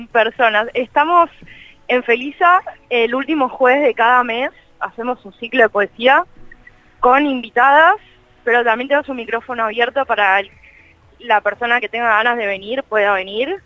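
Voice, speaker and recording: A woman's voice: fairly high pitch, normal speed, bright, forceful tone, no room sound, lo-fi sound. A young Argentine woman chatting casually as an interview guest, with a colloquial, slangy and self-deprecating manner. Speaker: interview guest, co-creator of a meme page. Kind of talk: casual chat in a radio interview.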